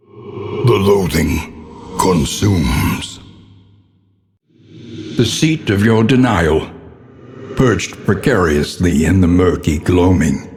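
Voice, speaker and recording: Slow, grave gothic horror narrator, male. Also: sits low, a little mellow, in a large hall, exaggerated voice. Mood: ominous. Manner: grave, theatrical, ornate and deliberate delivery. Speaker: game narrator.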